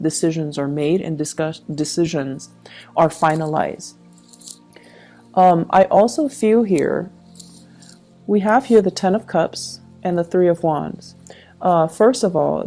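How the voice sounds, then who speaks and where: Mellow woman giving a tarot reading. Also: lowish voice, gravelly. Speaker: tarot reader. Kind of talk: tarot reading addressed to viewers.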